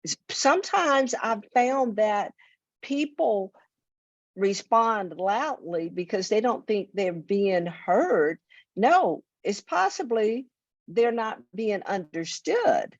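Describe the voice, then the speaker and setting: A woman's voice: medium pitch, animated, slow, no echo. A woman co-hosting a talk show, speaking earnestly and often repeating words as she thinks. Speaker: co-host. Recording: dull dry sound.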